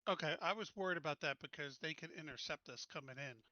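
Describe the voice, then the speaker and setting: A man's voice: a little high, fast, bright, forceful tone, no echo, dull audio. A man chatting casually as a player in a tabletop roleplaying game, talking through his choices.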